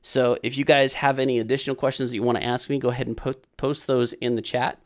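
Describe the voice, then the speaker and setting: A man's voice: mid-range voice, flat delivery, quick pace. A man in his thirties hosting a casual programming livestream Q&A from a small room on webcam, rambling and repeating himself with lots of fillers.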